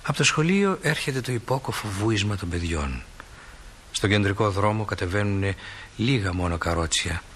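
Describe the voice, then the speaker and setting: A man playing a genial stage manager who narrates small-town life in a radio play like an unhurried storyteller. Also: on the low side, expressive, a bit bright, slight background noise. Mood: calm, wry.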